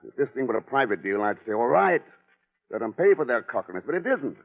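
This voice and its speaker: A man's voice: mid-range voice, big pitch swings, quick pace, vocal fry, narrow, tinny audio. A man playing a determined, frustrated government agent in a 1940s radio mystery serial. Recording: band-limited 1940s radio recording, long reverb.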